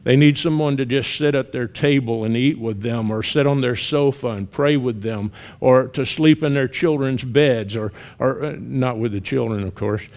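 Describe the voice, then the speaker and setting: A man in his sixties with a Southern US accent, talking plainly and conversationally as a missionary guest speaker at a church, with some gentle humor. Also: mid-range voice, normal speed, few pauses. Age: 60s. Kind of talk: church testimony talk.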